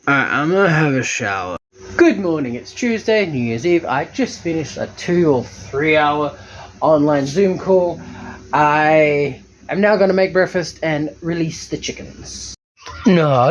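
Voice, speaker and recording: Lively, chatty man vlogging. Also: on the high side. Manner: chatty, self-mocking, animated. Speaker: homesteading vlogger. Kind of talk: casual self-filmed vlog narration.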